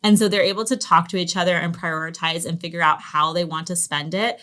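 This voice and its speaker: Middle aged woman guest chatting casually, animated stories, voices out what people said. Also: fairly low pitch, a little fast. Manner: animated anecdotes, acting out quoted remarks. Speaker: podcast guest, homeschool language program founder. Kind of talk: casual conversational storytelling.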